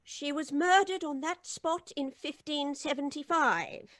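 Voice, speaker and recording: A woman's voice: sits high, a little slow, fairly bright tone, dry recording. A woman playing a solemn, foreboding housekeeper with a formal manner in an old-time radio drama. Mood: ominous. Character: solemn, ominous English housekeeper. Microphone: studio mic, video call.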